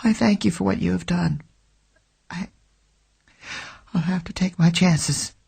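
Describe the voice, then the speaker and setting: A man reading an audiobook, voicing a frightened, secretive young woman who pleads in a breathy, trembling way. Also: fairly high pitch, steady tone, a little fast, a little mellow, voice pushed up. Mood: resigned.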